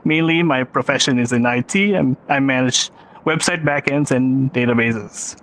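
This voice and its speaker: A man's voice: medium pitch, moderate pace, a little hiss or hum, low-quality recording. A man with a Filipino accent, presenting at a convention panel with frequent laughs and fillers. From Philippines. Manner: giggly, relaxed, many fillers. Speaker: panel host, filmmaker.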